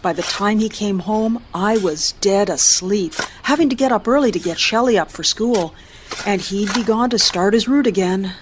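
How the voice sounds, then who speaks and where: A woman's voice: mid-range voice, normal speed, slight background noise, close to an everyday voice. A woman playing a chatty, sympathetic mother in an audio drama. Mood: sympathetic, probing.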